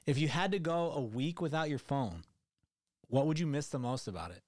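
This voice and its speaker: Monotone man hosting a podcast. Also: on the high side, moderate pace, no echo, clean studio sound. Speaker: podcast host. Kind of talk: casual chat.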